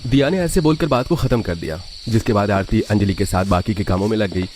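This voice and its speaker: A man's voice: lowish voice, brisk, darkish tone, slight background noise. A man narrating a scripted cartoon family story.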